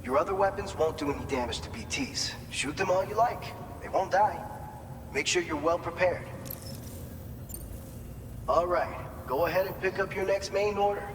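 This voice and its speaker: An actor playing an encouraging male mission support officer in a video game, explaining things clearly and supportively. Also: high voice, normal speed, noisy background, natural voice. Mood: briefing, serious. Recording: long reverb, loud music behind.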